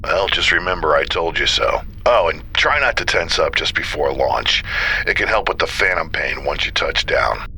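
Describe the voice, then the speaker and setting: A seasoned, wry guide in a horror radio play, acted by a man, with a very deep voice and a sharp, forceful edge. He sounds casual and dryly reassuring, with faint ambience behind. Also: animated, moderate pace, a little hiss or hum, full character voice. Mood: wry, advising. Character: seasoned, wry man guiding a nervous partner. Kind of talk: gothic horror audio drama acting.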